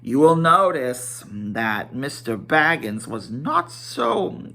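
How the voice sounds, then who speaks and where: A man narrating a fantasy tale as a dramatic amateur reading, halting now and then to repeat or correct a phrase. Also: mid-range voice, big pitch swings, gravelly, sharp tone. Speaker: amateur storybook reader.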